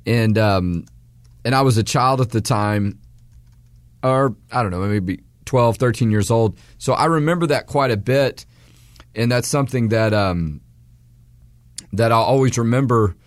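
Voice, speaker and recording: A man in his forties from Texas, hosting a radio talk show in a hoarse voice, rambling with restarts and fillers. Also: mid-range voice, a little slow, pauses often, dry recording. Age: 40s.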